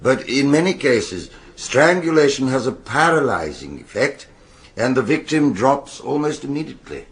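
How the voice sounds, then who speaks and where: An actor playing an authoritative British forensic pathologist, speaking in a formal, clinical, assured way in a radio courtroom drama. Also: male voice, mid-range voice, big pitch swings, normal speed.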